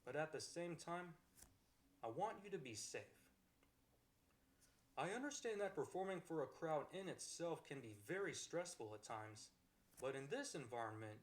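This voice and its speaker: A man voicing a kind-hearted, affectionate boyfriend in an ASMR roleplay, gentle, earnest and reassuring. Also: on the high side, lively intonation, moderate pace, natural voice. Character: kind-hearted, accepting, affectionate young boyfriend comforting his partner. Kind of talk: ASMR romantic roleplay, one-sided acted dialogue.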